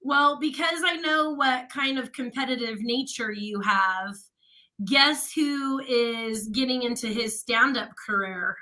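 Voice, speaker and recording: A woman's voice: fairly high pitch, slow pace, sharp tone, rough recording. A woman radio host in casual chat with her co-host, chatty and laughing often.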